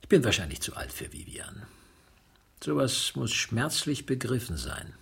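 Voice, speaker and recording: An actor playing a world-weary middle-aged widower in a radio drama, husky, blunt and sardonic, suspicious yet gruffly consoling. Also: male voice, medium pitch, very animated, unhurried, fairly bright tone, exaggerated voice. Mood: weary, resigned. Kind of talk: German radio drama acting, naturalistic dialogue.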